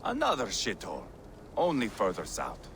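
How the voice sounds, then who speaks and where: Brutal Viking warrior, low-pitched, very animated, sneering, menacing, game cutscene, noisy background. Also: male voice, moderate pace, vocal fry, full character voice. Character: brutal, foul-mouthed, bullying male Viking warrior. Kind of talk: video game cutscene acting.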